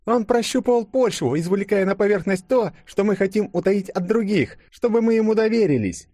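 A man's voice: high voice, expressive, brisk, mellow, no echo, studio quality, pitched above the performer's own voice. A man voicing a feisty, bossy young woman who speaks in a snappy, demanding way for an audiobook. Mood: angry, demanding.

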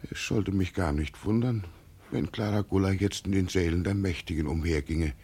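An actor playing a grief-stricken old peasant father in a German radio play, speaking slowly, husky and wistful. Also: sits low, warm tone. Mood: brooding, wistful. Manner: slow, brooding, wistful.

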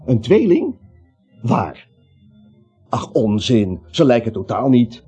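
A man's voice: medium pitch, very animated, takes it slowly, dark tone, slightly rough, exaggerated voice. An actor playing a nervous, naive little everyman who rambles, hesitates and justifies himself in a comic radio play. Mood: baffled, uneasy. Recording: muffled old radio play, music behind.

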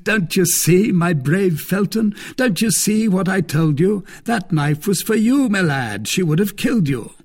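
A man's voice: sits high, expressive, unhurried, few pauses, slightly rough, voice pushed up, heavily acted. A man reading an audiobook, voicing a mocking, sardonic nobleman who speaks in a taunting, gloating way. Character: mocking, sardonic English lord, male.

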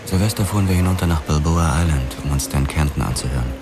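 Very deep-voiced hard-boiled cop narrator. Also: male voice, quick pace. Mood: matter-of-fact. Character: hard-boiled boxer turned Los Angeles policeman narrating his past. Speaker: narrator and lead actor. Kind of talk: scripted first-person noir radio drama narration. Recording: music behind the narration. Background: dramatic music.

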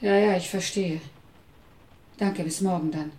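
A woman's voice: lowish voice, fairly even intonation, measured pace, darkish tone, lightly acted, low-quality recording. An actress playing a chatty, easily dismayed woman carer in a radio drama, exclaiming and fretful but deferential. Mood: taken aback.

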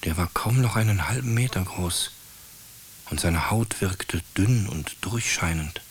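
Male storyteller for radio drama, deep register, scripted narration, faint hiss. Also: unhurried.

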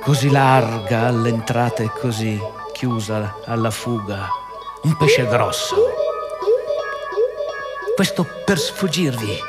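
A man narrating radio theatre with wry commentary, in an expressive, ironic storytelling manner. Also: medium pitch, slow, pauses often. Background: music.

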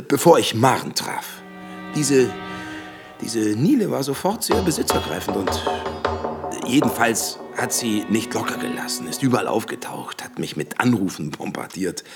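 Radio drama husband: courteous surface, hidden menace, aggrieved and hesitant, lively intonation, music behind. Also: male voice, mid-range voice, normal speed. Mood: aggrieved, confiding. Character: smooth, self-justifying husband hiding menace behind politeness. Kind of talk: naturalistic radio drama acting.

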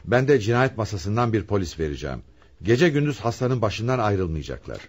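Bossy police commissioner in a radio drama, husky, lots of swing in the voice, gives orders firmly. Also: male voice, lowish voice, big pitch swings. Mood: commanding. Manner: authoritative, deliberate, probing. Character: commanding male police commissioner leading a murder investigation. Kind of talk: radio theatre acting.

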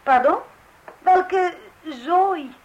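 A woman's voice: very high-pitched, very slow, lo-fi sound, exaggerated voice. A woman playing a flustered, polite housewife with a hesitant manner in a radio play. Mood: flustered, apologetic. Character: flustered, polite housewife, wife of a clumsy do-it-yourselfer. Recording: old radio play recording.

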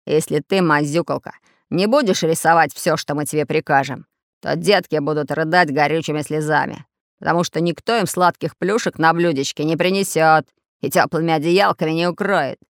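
A woman voicing a red-bearded male pirate captain, a gloating storybook villain with a hoarse, barking voice. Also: sits low, dry recording, clean studio sound. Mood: bossy. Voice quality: hoarse, raspy. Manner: barking, gloating, drawn-out menace.

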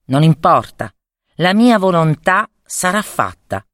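Young storybook prince, played by a woman: proud, ardent, tender, highly animated intonation, close dry sound. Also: takes it slowly, dry recording, exaggerated voice. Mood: tender, resolute. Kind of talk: storybook fairy-tale character voice.

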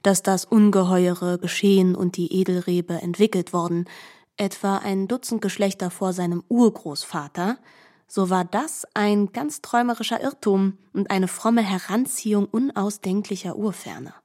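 A woman's voice: mid-range voice, fairly even intonation, normal speed, dark tone. A woman reading scripted literary narration for a radio production, composed and articulate, recorded in a studio.

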